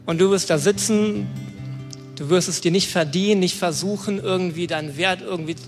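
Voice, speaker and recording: The young man you'd hear onstage at a youth church service, colloquial and halting, voice ringing through the hall over soft music. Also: high-pitched. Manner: colloquial, hesitant, groping for words. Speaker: preacher. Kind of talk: informal youth sermon with personal testimony. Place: large room, church service on stage. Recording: echoey hall, music behind.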